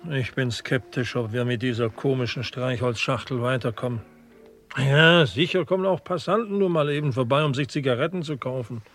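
An actor playing an eager, talkative police sergeant in a crime radio play, keen and slightly cheeky. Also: male voice, medium pitch, moderate pace, fairly bright tone, faint music behind, close to an everyday voice. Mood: pondering.

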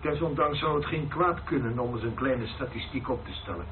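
A man's voice: medium pitch, a little fast, noisy background, lightly acted. An actor in a radio play playing a stern, admonishing man. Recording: distant, narrow, humming radio sound.

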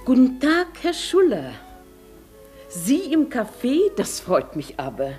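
A woman's voice: high-pitched, wildly swooping pitch, takes it slowly, a little mellow, faint music behind, full character voice. An actress playing a genteel, wistful elderly lady in a German radio play, speaking formally with some hesitation. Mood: gracious. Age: older.

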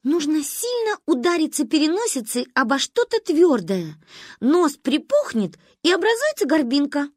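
A high, very lively little girl. She is stubborn and bossy, with an insistent, theatrical delivery. The sound is close and dry. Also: normal speed, dry recording. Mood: dreamy, insistent. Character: stubborn, bossy, dramatic little girl, wailing when hurt.

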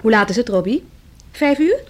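An actress playing a spirited, teasing and bossy well-to-do fiancée of thirty in a radio drama. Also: female voice, a little high, very animated, moderate pace. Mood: insistent. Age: 30s.

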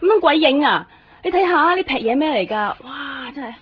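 Radio drama nag: a higher-voiced, exasperated Hong Kong Cantonese woman, scolding, on a muffled old broadcast. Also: animated, normal speed. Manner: scolding, exclaiming.

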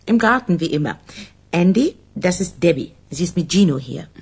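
Gangster's wife in a radio play, very husky low voice, frank, no fuss. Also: female voice, animated, moderate pace, exaggerated voice. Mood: matter-of-fact. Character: candid, matter-of-fact mobster's wife. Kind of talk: radio crime drama acting.

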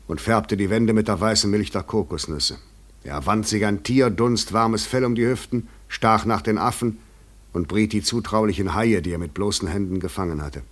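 An actor in a German radio play, playing an insistent, eloquent, poetic stranger who has swum out of the sea, speaking in a formal theatrical way. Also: male voice, fairly low pitch, fairly bright tone, no echo. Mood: solemn, storytelling.

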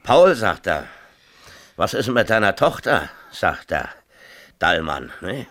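An actor playing an agitated, self-pitying middle-aged mayor in a radio drama, rambling and insistent in colloquial talk. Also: male voice, deep voice, big pitch swings, takes it slowly, fairly bright tone, full character voice. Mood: agitated, brooding. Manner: rambling, insistent, peppers talk with tag questions. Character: agitated, self-pitying small-town mayor and exasperated father. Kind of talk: 1970s East German radio drama acting, colloquial dialogue.

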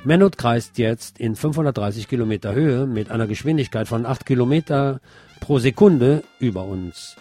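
A man's voice: medium pitch, lively intonation, normal speed, over music. A man reading scripted radio news as the presenter.

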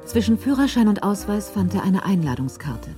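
A woman narrating a crime radio drama in a cool, measured, literary style. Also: mid-range voice, very animated, over music, natural voice.

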